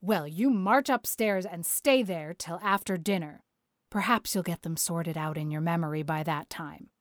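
A woman's voice: on the low side, no echo, clean studio sound, close to an everyday voice. A woman voicing a stern, practical older woman, a strict guardian who speaks firmly and matter-of-factly in a storybook reading. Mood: stern, scolding. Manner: firm, brisk scolding, matter-of-fact.

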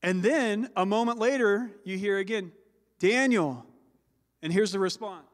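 A man, a father, preaching a sermon in a loud, carrying voice, slowing down to stress key words. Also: high voice, long reverb tail. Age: adult with children. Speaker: pastor.

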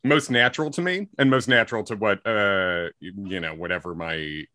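A man's voice: on the low side, normal speed, bright, forceful tone, no echo, clean studio sound. A comedian from Chicago with a slight Chicago accent, chatting loosely in an interview, rambling and correcting himself as he goes. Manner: rambling, self-correcting, frequent likes and ums. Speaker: comedian guest. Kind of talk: casual interview chat.

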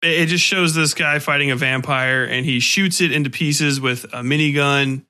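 Bright, animated man hosting a casual podcast. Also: fairly high pitch, moderate pace, clear, resonant tone. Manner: animated, profane, acts out sound effects. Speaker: podcast host interviewing a guest. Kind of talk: casual conversational interview.

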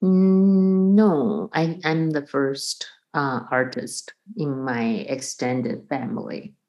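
Low-voiced woman from Taiwan, explaining thoughtfully. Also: slow, dark tone, dull audio. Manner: thoughtful, explains with examples and restarts. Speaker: guest, percussion professor. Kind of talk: conversational interview.

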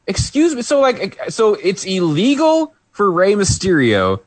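A man's voice: high voice, wildly swooping pitch, measured pace, no room sound. A man co-hosting a podcast, recapping a wrestling match in casual chat, rambling with fillers and restarts. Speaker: podcast co-host.

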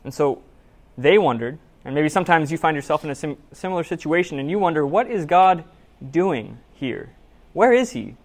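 A man preaching a sermon in a church, reading scripture aloud and then telling a story in an earnest, measured, conversational way. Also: a little high, animated. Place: church sanctuary.

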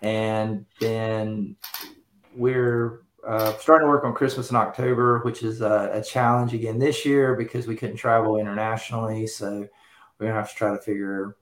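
A man with a fairly low voice, talking about his work in an easygoing way and laughing often. His voice carries a roomy echo, with faint noise in the background. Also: level tone, moderate pace. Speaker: guest, ministry leader. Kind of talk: casual conversation.

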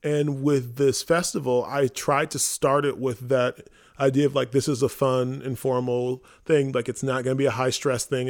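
A man talking casually on a podcast as one of the hosts, informal and animated, often acting out quotes. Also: mid-range voice. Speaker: theater company member and podcast host.

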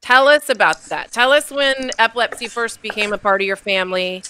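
A woman co-hosting a podcast in casual chat, with a raspy voice and a bubbly, cheering manner. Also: medium pitch, fairly quick, pushed and bright, slight background noise. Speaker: podcast co-host.

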